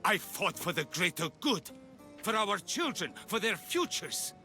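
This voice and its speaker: An aging Persian zealot who leads a secret order in a video game. His delivery is slow and forceful, full of grandiose conviction and calm menace. Music plays behind him. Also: high voice, heavily acted. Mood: impassioned. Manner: grandiose, deliberate speechmaking. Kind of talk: video game cutscene acting.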